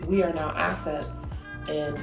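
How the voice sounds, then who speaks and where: A woman with a fairly low voice chats animatedly as a podcast co-host, peppering her talk with "like" and casual swearing. The room rings with echo, and music plays underneath. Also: measured pace, vocal fry. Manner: animated, swears freely, many "like" fillers. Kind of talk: casual chat. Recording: echoey room, music behind.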